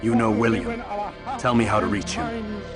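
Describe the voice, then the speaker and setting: An actor playing a proud, curt young assassin who speaks in cool, terse questions and statements. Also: male voice, mid-range voice, sing-song, normal speed, music in the background, lightly acted. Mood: cold menace.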